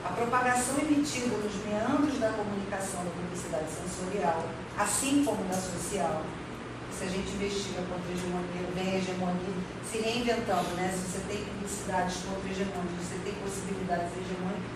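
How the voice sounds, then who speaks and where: A woman's voice: mid-range voice, steady tone. Echoey, distant Brazilian woman lecturing. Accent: Brazilian Portuguese. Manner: halting, rephrasing often, seeking agreement with tags. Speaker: professor and researcher presenting her research. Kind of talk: academic presentation, explanatory. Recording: very echoey room, distant mic, clear background noise.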